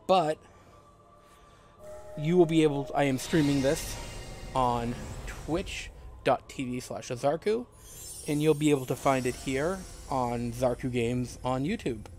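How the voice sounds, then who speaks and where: A man's voice: medium pitch, unhurried, pauses often, darkish tone, soft background music. Casual man doing gameplay commentary. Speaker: let's play host.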